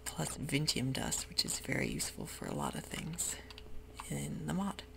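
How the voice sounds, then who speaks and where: A host whispering a slow, meandering tour of a game world, close to the microphone, with frequent pauses and fillers. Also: medium pitch, wildly swooping pitch, gravelly. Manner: soft mostly unvoiced whisper; hesitant, meandering, with many fillers. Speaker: gameplay ASMR host. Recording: audible hiss with keyboard clicks.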